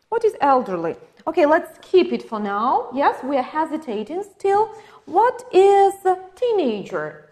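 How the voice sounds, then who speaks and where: A woman with a Ukrainian accent teaching an English lesson, patiently asking questions and confirming answers. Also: high voice. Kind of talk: online English lesson for exam prep.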